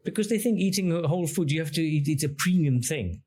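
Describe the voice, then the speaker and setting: A man's voice: on the high side, animated, fast, darkish tone. A middle-aged man who grew up speaking Greek, talking as a podcast guest and explaining his ideas at length with questions and examples. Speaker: podcast guest, engineer and app founder. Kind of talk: conversational interview.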